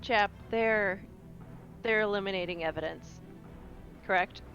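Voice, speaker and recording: A woman's voice: medium pitch, a little slow, a bit bright, faint music behind. A woman playing an urgent, suspicious crew member in a tabletop roleplay, asking pointed, insistent questions through slightly muffled audio. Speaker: player.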